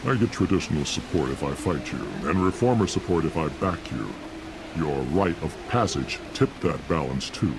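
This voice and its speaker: A man playing a disdainful, calculating alien clan leader in a video game, with a deep, gravelly voice and a condescending manner. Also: expressive, moderate pace, over music. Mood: cold, scheming. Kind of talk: dramatic video game acting.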